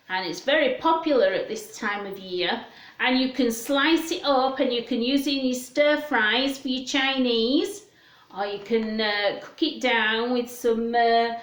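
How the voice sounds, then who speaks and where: A woman presenting a children's storytime, chatting in a gentle sing-song way and putting questions to young listeners. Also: on the high side. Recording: roomy, echoing room sound.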